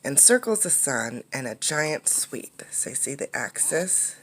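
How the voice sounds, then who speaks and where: A woman reading a picture book aloud as a teacher, in an instructive way, pausing to explain and ask questions. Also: very deep voice, big pitch swings, fairly bright tone, a little hiss or hum.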